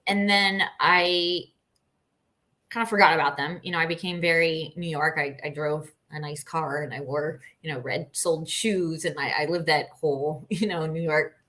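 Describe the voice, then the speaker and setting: A middle-aged woman from Costa Rica telling stories casually as an interview guest, with an informal, animated manner. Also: low-pitched, moderate pace. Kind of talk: casual conversational storytelling.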